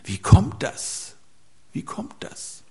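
A man's voice: drawn-out words, a little hiss or hum. A man preaching a sermon to a gathering, telling anecdotes with small hesitations.

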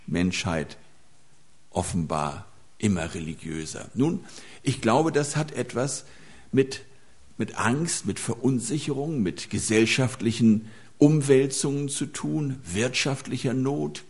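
A man's voice: mid-range voice, expressive, slow, darkish tone. A man preaching a sermon to a gathering, telling anecdotes with small hesitations.

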